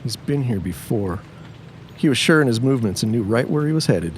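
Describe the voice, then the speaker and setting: Man as a terse young sleuth, lively pitch. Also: a little fast, slight background noise, close to an everyday voice. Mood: alert, guarded. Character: terse, steady young workingman turned amateur sleuth. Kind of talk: period audio drama acting.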